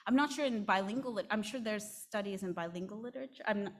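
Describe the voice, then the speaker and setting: A woman's voice: medium pitch, fast. A woman presenter answering audience questions after a conference talk, hesitant and full of fillers. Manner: hesitant, many fillers and backchannels.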